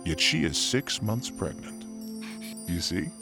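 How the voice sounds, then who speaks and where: An actor playing an angel messenger in a Bible drama, solemn, measured and reassuring. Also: male voice, low voice, sing-song, vocal fry, over music, heavily acted. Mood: solemn, assured.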